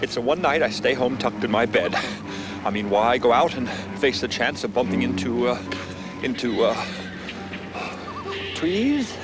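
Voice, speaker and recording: An actor playing a middle-aged, prim and superstitious schoolmaster, hesitant, stammering and fussy, in a television period comedy. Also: male voice, mid-range voice, expressive, a little slow, long pauses, close to an everyday voice. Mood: anxious. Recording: muffled VHS under loud music.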